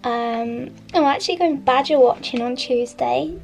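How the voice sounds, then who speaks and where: A woman vlogging casually from her studio, croaky from a chest infection, chatty with plenty of ums and soft asides. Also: sits high, a little slow. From England. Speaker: vlogger, artist. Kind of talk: casual studio vlog chat. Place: art studio indoors.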